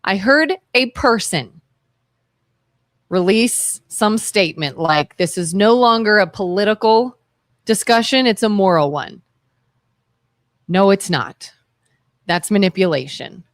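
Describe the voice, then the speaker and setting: A woman's voice: medium pitch, lively intonation, takes it slowly, long pauses, no echo. A woman co-hosting a talk show from a studio, speaking emphatically and insistently. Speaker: radio talk show co-host. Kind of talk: casual opinion talk.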